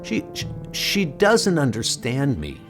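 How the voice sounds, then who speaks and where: Male performer as anxious young hero, stammering and defensive, higher-pitched, music underneath. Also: wildly swooping pitch, takes it slowly, exaggerated voice. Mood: flustered, defensive. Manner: hesitant stammer. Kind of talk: comic book audio drama.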